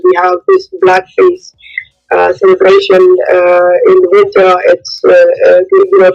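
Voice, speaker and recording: A woman's voice: mid-range voice, gravelly. A woman born in Somalia calling in to a radio show, speaking earnestly with many hesitations. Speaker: caller. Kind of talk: phone-in comment. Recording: muffled phone-in line.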